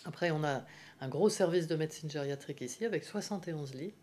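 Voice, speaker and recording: Low-voiced woman, measured hesitant explaining. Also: darkish tone. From Paris, France. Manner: measured, frequent hesitations with euh. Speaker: professor of geriatric medicine. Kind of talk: explanatory interview answers.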